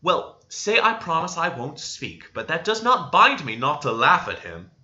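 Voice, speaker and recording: Man plays young wife, storybook: light-hearted, flighty, playful, lifted pitch, animated. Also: a little slow, dull audio, exaggerated voice.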